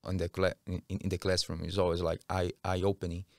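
A man's voice: deep voice, flat delivery, a little fast, vocal fry, no room sound. A man in his thirties with a Brazilian accent, chatting casually as a guest on a podcast, close on a studio mic. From Rio, Brazil. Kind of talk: casual interview talk. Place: small curtained podcast studio. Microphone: close studio mic on boom arm.

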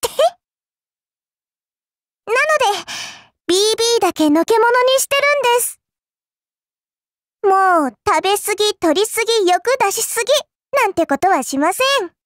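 Small cute spirit girl for an anime game: extremely high pitch, sly and teasing, polite but smug, dry studio sound. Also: lively intonation, moderate pace, pauses often, a bit bright, no echo. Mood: playful, confiding. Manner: polite, coy, teasing, cutesy. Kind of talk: anime game character voice lines.

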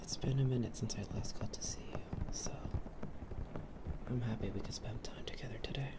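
A man playing a doting young boyfriend in an ASMR role play, tender and soothing, breathy and soft-spoken, close to a binaural mic. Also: mid-range voice, fairly even intonation, fairly quick, close to an everyday voice. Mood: tender whisper. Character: doting, affectionate young boyfriend pampering his partner. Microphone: close binaural microphone.

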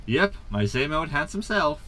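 Man acting bright-voiced, cocky space captain impostor. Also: a little high, very animated, normal speed, dry recording. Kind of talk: science fiction comedy audio drama.

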